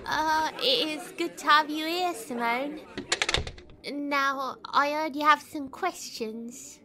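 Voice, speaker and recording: A woman's voice: high-pitched, takes it slowly, background noise, pitched above the performer's own voice. A woman voicing a formal, somewhat hesitant male police chief in an animal audio drama.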